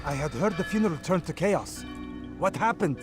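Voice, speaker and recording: A man acts a dutiful, skeptical assassin in a game cutscene. His voice is high for a man, earnest and cautioning, over loud music. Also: expressive, normal speed, natural voice. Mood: doubtful, concerned. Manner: earnest, admonishing. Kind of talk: dramatic game cutscene acting.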